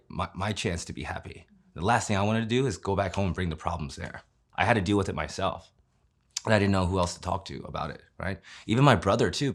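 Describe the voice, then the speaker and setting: Man in his late thirties, low voice, casual and candid telling his story. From Kansas City, Missouri, USA. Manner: conversational, often adds tag questions and fillers. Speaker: interviewee. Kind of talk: personal storytelling interview.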